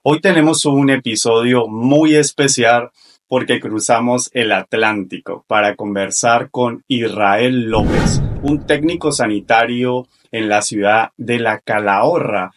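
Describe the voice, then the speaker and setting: A male podcast host speaks in a mid-range voice. He presents in an orderly, courteous way, addressing both his guest and his audience. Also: mid-range voice. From Colombia. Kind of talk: podcast introduction and interview.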